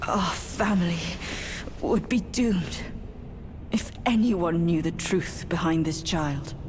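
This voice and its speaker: A woman's voice: on the low side, takes it slowly, noisy background. A woman voicing a proud, stern demoness queen and mother who speaks coldly and commandingly in a game cutscene. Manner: cold and commanding. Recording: music behind the voice.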